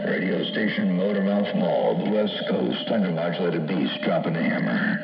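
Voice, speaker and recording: A man making casual CB radio chat in a folksy, halting way, full of operator slang and sign-offs. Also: sits low, expressive, creaky, far from the mic, dull audio. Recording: roomy, band-limited CB radio audio, loud music.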